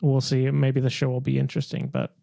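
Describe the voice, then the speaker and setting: Guy on a podcast just chatting, mellow and low-key, bit of fry in his voice. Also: completely flat delivery, brisk, no echo, clean studio sound. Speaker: podcast co-host. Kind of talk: casual group chat about games and films.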